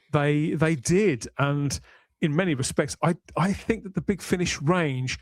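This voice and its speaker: A man hosting a podcast panel discussion, talking in a measured, discursive way with deliberate pauses. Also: mid-range voice, a little mellow, dry recording. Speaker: podcast host.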